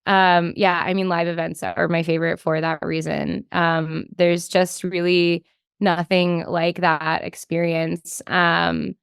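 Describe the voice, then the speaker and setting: A woman's voice: on the low side, smooth, no echo, studio quality. A woman chatting casually as an interview guest, thinking aloud and peppering her talk with "like". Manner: conversational, thinking aloud, frequent hedging with "like". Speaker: podcast guest, developer relations engineer.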